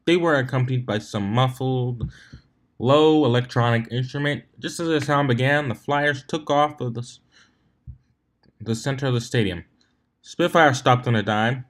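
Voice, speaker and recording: A man's voice: mid-range voice, a bit bright. Man narrating a story, amateur read-aloud. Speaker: fan fiction reader, narrator.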